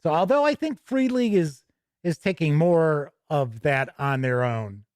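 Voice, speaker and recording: A man's voice: a little high, animated, measured pace, darkish tone, smooth, dry recording, clean studio sound. A man hosting a tabletop gaming news show, chatting casually between read-out product copy, with self-correcting, rambling asides.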